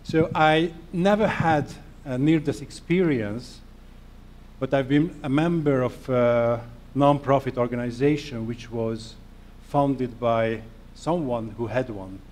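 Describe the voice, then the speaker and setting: A middle-aged man from Hungary with a Hungarian accent, giving an earnest, self-deprecating conference presentation in halting non-native phrasing. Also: medium pitch, darkish tone. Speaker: conference speaker.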